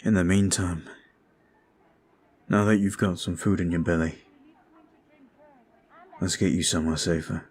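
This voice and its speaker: A man voicing a brooding male vampire who is weary and guilt-ridden, talking slowly and softly in an ASMR roleplay. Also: low-pitched, fairly even intonation, a little hiss or hum. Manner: slow, soft, confiding. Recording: faint music behind.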